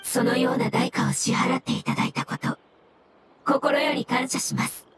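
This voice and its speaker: Woman voicing a high, courteous little mushroom creature. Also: little pitch movement, normal speed, heavy background noise, natural voice. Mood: earnest, grateful. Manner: formal, courteous, composed. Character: gentle, earnest, polite floating mushroom creature. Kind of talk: Japanese game voice acting. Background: background music.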